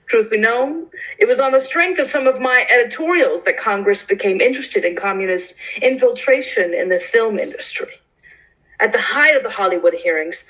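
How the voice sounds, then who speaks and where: Brash old-Hollywood newspaperman, read by a woman, mid-pitched, self-satisfied and wry, confiding stage monologue. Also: fairly bright tone, close to an everyday voice, poor audio. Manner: conversational, boastful, wry storytelling. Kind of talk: theatrical monologue in a staged play reading.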